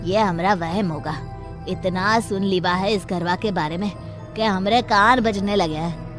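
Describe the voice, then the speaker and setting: A humble cartoon servant man played by a woman mutters. The pitch is medium, with faint music behind. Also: unhurried, natural voice. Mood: dismissive, muttering.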